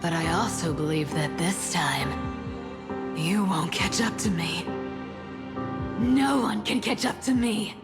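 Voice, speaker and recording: A defiant fantasy game thief with a lowish voice and cool poise. She speaks unhurriedly in a long, reverberant space. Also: takes it slowly, long pauses, loud music behind the voice. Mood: cool defiance.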